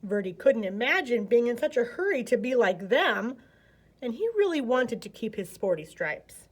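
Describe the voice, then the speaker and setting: High-voiced American woman reading a storybook. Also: very animated, moderate pace, breathy edge. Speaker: children's storyteller. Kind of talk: expressive picture-book narration.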